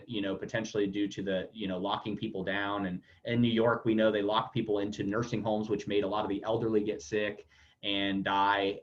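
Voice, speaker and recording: A man hosting a casual podcast interview, rambling with many fillers and repeated words. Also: lowish voice. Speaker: podcast host. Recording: dull lo-fi audio.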